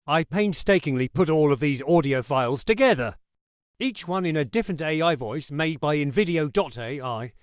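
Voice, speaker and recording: A man's voice: on the high side, lively intonation, moderate pace, clean tone, no room sound, clean studio sound. Chatty man hosting a tech demo. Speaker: tech video host. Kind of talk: casual tutorial narration.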